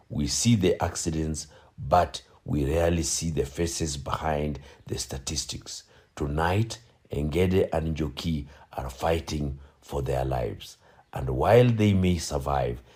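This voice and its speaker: A man's voice: extremely low pitch, dark tone, husky, heavily acted. A man playing a grave narrator, solemn and theatrical, delivering scripted radio drama narration. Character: grave, dramatic male narrator of a road tragedy.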